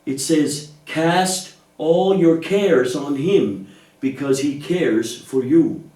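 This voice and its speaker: A man's voice: fairly high pitch, slow, dark tone. A man speaking patiently and reassuringly in a children's church puppet show, explaining things in simple terms. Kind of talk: gentle scripted dialogue teaching children about faith. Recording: echoey room, distant microphone.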